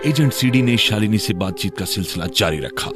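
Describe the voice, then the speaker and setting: A man's voice: fairly low pitch, animated, brisk. A man with an Indian accent reading scripted narration for a radio drama. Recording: loud music under the voice. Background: music.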